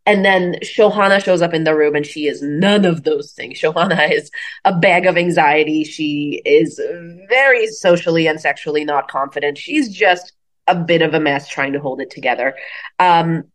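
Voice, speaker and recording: Low-pitched woman from Bangladesh, chatty storyteller. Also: moderate pace. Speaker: comedian, interview guest. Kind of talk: casual conversational interview.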